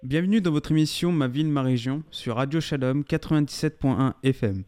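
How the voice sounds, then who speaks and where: A young man hosting a local radio interview, polite and halting, often repeating himself. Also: a little high, warm tone. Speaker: radio host.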